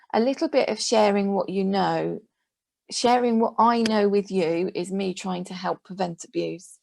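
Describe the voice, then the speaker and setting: Female webinar presenter, mid-pitched with noticeable vocal fry, direct and reassuring advisory delivery, close dry sound. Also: a little mellow, no room sound. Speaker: guest speaker, adult safeguarding professional.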